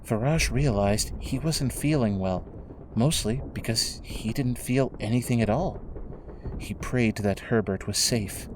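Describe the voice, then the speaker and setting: A man narrating a scripted dramatic story in a measured, solemn way, with music behind him. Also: medium pitch, noisy background. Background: prominent music.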